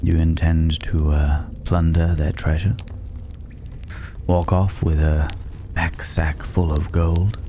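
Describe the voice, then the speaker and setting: A man playing a mysterious, worldly stranger in a dragon's hoard in an ASMR fantasy roleplay, mocking and condescending, with a languid, teasing delivery and drawn-out pauses. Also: rumbling low, warm tone, full character voice. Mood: teasing, probing. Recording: clearly noisy ambient background.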